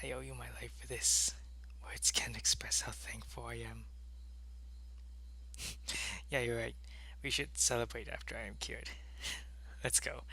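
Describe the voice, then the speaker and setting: A man playing a devoted alien soldier in an ASMR audio roleplay, with a gravelly, earnest and deliberate delivery. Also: mid-range voice, flat delivery, bright, forceful tone, heavily acted, low-quality recording. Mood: tender, grateful. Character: devoted alien soldier, gruff in battle, tender with his lover.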